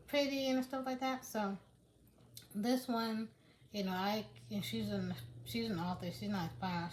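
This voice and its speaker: A woman's voice: mid-range voice, slight background noise, rough recording. A woman chatting casually to her viewers in a vlog, rambling with many restarts. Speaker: vlogger and writer. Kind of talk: casual chat to camera.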